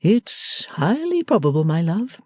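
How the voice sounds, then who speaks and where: A woman reader voicing a meek, gentle older father who speaks mildly and slowly. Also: on the low side, very animated, no room sound, clean studio sound, voice pushed up. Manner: mild, slow and soothing.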